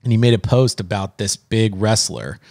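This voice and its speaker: A man's voice: fairly low pitch, moderate pace, dark tone, no room sound. A man co-hosting a podcast in a casual, conversational style. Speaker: podcast co-host.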